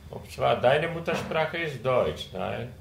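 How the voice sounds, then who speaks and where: An older man with a Czech accent, a native Czech speaker, speaking German haltingly in an interview. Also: mid-range voice, expressive, vocal fry, slight background noise. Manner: halting, searching for words, frequent hesitations. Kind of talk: conversational interview. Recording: roomy room sound.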